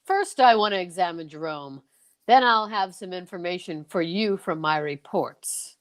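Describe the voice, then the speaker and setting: A woman's voice: on the low side, normal speed, close to an everyday voice. A woman acting the part of a male doctor in a radio mystery, official and questioning. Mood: puzzled, official. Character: male doctor, brisk and questioning, at a death scene. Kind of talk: old-time radio drama acting.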